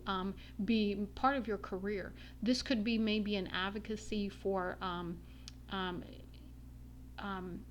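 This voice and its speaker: A woman giving a casual tarot reading, her voice slightly raspy from a long day, meandering with many ums and uhs. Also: mid-range voice, a little hiss or hum. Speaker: tarot reader.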